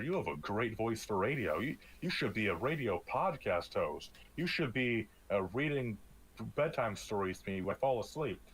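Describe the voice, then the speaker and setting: A young man with a deep, loud voice co-hosts a casual podcast. His pitch swings in a lively way as he restarts his thoughts, and he slips in deadpan jokes. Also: husky, poor audio. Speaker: podcast co-host. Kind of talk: casual chat. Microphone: streamed podcast mic.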